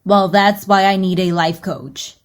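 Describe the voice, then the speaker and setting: A woman's voice: mid-range voice, measured pace, lightly acted. A woman playing an overbearing, gushing mother of a teenage son in a comedy audio drama, with a blunt, eager manner.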